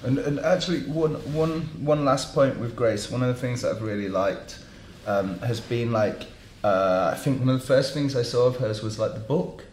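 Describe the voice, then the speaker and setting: A man's voice: mid-range voice, normal speed, darkish tone, roomy sound, slight background noise, low-quality recording. A man, a fashion journalist, hosting a panel discussion with rambling restarts. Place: dark studio around a table.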